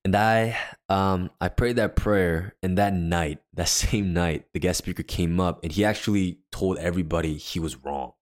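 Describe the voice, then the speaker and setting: Deep-voiced man telling a story. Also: normal speed, few pauses. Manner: rambling, filler-heavy storytelling with many restarts. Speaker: podcast co-host; worship leader. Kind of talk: casual storytelling chat.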